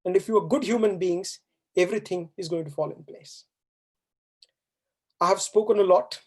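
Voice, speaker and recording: A woman's voice: on the low side, moderate pace, a little mellow, husky. Earnest woman giving a stirring speech. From India. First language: Hindi. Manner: earnest, exhorting, rhetorical questions. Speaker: guest speaker. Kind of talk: formal valedictory speech. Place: university auditorium.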